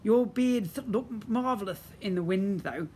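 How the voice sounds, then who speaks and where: A man's voice: high-pitched, measured pace, mellow, a little hiss or hum, voice pushed up. A man voicing mocking tooth fairy sisters from a children's story, with a gleeful, lisping cackle.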